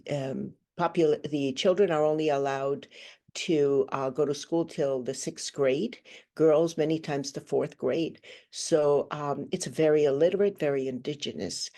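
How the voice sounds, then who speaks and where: A woman in her sixties from Guatemala, giving a thoughtful, earnest interview answer over a video call and pausing often to search for words. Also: deep voice, mellow. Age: 60s. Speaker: guest, hand therapist.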